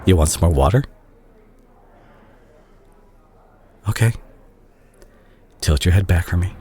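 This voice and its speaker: A man playing a remorseful, tender doctor and ex-boyfriend in an intimate roleplay audio drama, speaking softly and hesitantly with a husky voice. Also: low-pitched, full character voice. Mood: gentle, teasing. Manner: hesitant, soft-spoken, halting.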